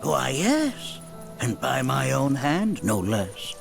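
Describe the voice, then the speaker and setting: An actor playing a wise, impish old village elder in a video game, with a grandiose, formal way of talking. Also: male voice, medium pitch, big pitch swings, takes it slowly, gravelly, exaggerated voice. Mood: courtly, sly. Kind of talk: video game cutscene acting. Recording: loud music behind.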